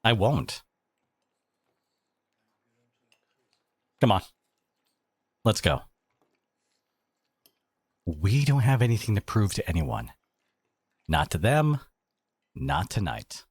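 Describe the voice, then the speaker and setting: A man's voice: lowish voice, big pitch swings, a little mellow, breathy edge, no room sound, heavily acted. Guy playing protective boyfriend in asmr roleplay audio, sarcastic n emphatic, voice swings a lot, really dry close sound. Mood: indignant, resolute. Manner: emphatic, sarcastic, dramatic pauses. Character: protective devoted boyfriend, indignant, sarcastic, tender.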